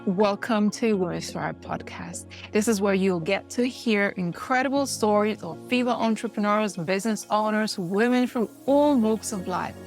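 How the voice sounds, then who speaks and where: A woman co-host delivers a scripted podcast welcome in an upbeat, promotional tone. Faint music plays beneath her voice. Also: mid-range voice. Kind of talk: scripted show intro.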